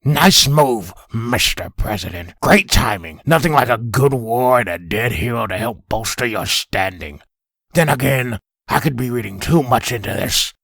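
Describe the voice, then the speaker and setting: A cynical news commentator, acted by a man for a comic dub. His voice is creaky and a bit husky, in a middle register, and he piles up sarcastic, suspicious questions. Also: mid-range voice, lively intonation, unhurried, a bit bright, no room sound, studio quality, exaggerated voice. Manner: sarcastic, rhetorical.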